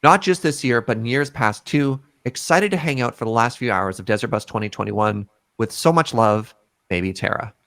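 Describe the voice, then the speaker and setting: A man's voice: medium pitch, normal speed, a bit bright. A man casually reading out a viewer's message as a livestream host on a video call. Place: indoors at home, on a group video call.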